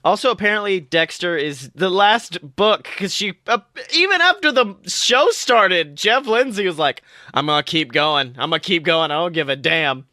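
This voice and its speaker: A man co-hosting a podcast in casual pop-culture chat, animated, slangy and given to swearing. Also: sits high, moderate pace, hardly any pauses, no echo, studio quality. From United States. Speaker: podcast co-host.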